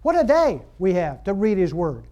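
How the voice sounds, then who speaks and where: Guy preaching, high voice for a man, chatty and rambly, lots of uh and restarts, gets worked up. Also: wildly swooping pitch, normal speed, warm tone. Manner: rambling, conversational, frequent restarts and fillers. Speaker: pastor. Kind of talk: sermon.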